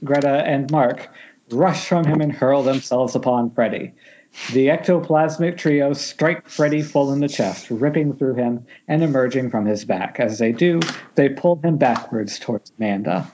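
A man's voice: medium pitch, normal speed, a little mellow, slight background noise. Wry man narrating, dull call audio. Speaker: narrator reading stage directions. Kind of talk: informal script table read narration.

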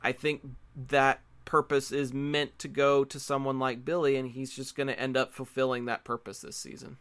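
A man's voice: mid-range voice, level tone, moderate pace, a bit bright, clear, resonant tone, no echo. A man co-hosting a podcast, talking casually about pop culture and repeating words for emphasis. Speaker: podcast co-host.

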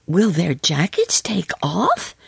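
A woman voicing a curious, excitable little girl in a children's storybook, full of direct questions and exclamations. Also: lowish voice, slow, gravelly, slightly rough, no room sound, pitched above the performer's own voice, heavily acted. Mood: curious, excited.

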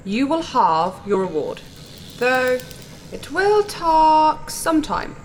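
A woman playing a massive, blunt insectoid alien princess in a sci-fi audio drama, speaking in a formal, deliberate, regal way with odd vowel sounds. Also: high voice, sing-song, a little hiss or hum, heavily acted. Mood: lofty, matter-of-fact.

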